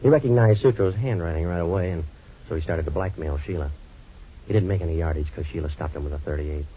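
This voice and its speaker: An actor playing a hard-boiled waterfront detective in a 1940s radio drama, with dry, clipped wisecracks. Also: male voice, deep voice, quick pace, breathy edge. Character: wisecracking tough waterfront private eye, man. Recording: dull old radio broadcast with faint hiss.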